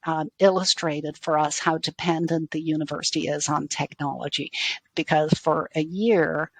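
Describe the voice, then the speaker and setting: A woman's voice: low voice, fairly even intonation, normal speed, husky, no room sound. A middle-aged woman from Dublin with a faded Irish accent gives conversational interview answers, often rephrasing as she goes. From Dublin, Ireland. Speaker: university IT executive, interview guest.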